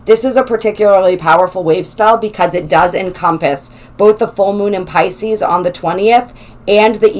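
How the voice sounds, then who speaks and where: A woman hosting a spiritual channel, her voice slightly raspy, talking in a gentle, flowing way with some halting restarts. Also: medium pitch, poor audio. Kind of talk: casual spoken explainer, partly read aloud.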